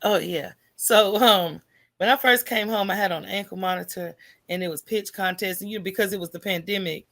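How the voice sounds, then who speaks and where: Gravelly, bright-voiced American woman. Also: medium pitch, fairly quick. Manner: informal, candid, often checks in with "right?". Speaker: podcast guest, entrepreneur. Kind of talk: conversational personal storytelling in an interview.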